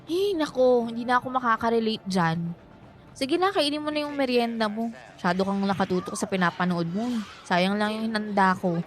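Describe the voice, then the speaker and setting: A woman's voice: fairly high pitch, expressive, a little fast, natural voice. A woman acting a lovestruck, insistent younger woman in a Filipino radio drama, coaxing and fussing in a Filipino accent. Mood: playfully fussy. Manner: pleading, coaxing, playfully fussy. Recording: soft music underneath. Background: background music.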